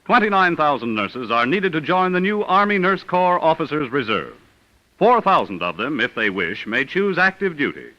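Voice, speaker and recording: A man working as a radio announcer in formal 1940s network style, with polished, measured, declamatory delivery. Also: fairly high pitch, big pitch swings. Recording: muffled vintage radio recording with music.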